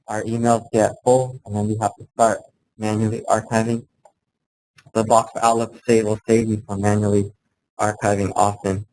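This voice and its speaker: A fairly low-pitched man gives an informal workplace software training talk. He explains things plainly and haltingly, checking in as he goes. There is clear background noise in the room. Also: rough recording. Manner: halting, plain-spoken explaining, often checking in with "okay" and "right". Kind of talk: informal instructional presentation. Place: indoor room, live talk to colleagues.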